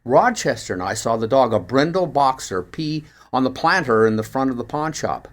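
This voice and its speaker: A man's voice: mid-range voice, expressive, normal speed, natural voice. A man voicing an eager, persuasive amateur sleuth in the dialogue of a first-person story reading.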